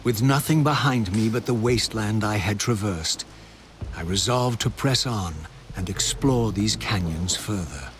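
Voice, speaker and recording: Low, brooding wraith narrator played by a man. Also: natural voice. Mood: brooding, solemn. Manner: measured, solemn, literary. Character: undead wraith antihero narrating his journey, brooding. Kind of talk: formal scripted first-person game narration. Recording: clear background ambient noise.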